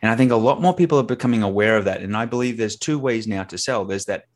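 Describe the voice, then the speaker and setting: A man's voice: fairly low pitch, fast talker. A man with an Australian accent, a podcast guest talking casually with lots of fillers and acted-out quotes. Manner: chatty, many fillers, acts out quoted dialogue. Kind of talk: casual interview chat.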